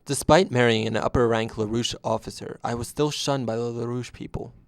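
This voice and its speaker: Gravelly male storyteller, close and dry. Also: medium pitch, fairly even intonation, fairly quick, no room sound. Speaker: narrator. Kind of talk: scripted first-person story narration.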